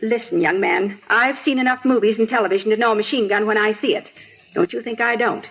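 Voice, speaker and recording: A woman's voice: fairly high pitch, fairly quick, slightly rough, faint music behind. A woman playing a fussy, chatty older bank clerk who rambles indignantly, in a 1950s radio drama. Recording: muffled 1950s radio recording, faint hiss.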